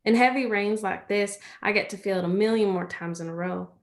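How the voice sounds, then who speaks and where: Gentle American farm girl, played by a woman, folksy and comforting, for a stage drama reading. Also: mid-range voice, a little fast, close to an everyday voice. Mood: soothing. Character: gentle, chatty rural girl, playful and comforting.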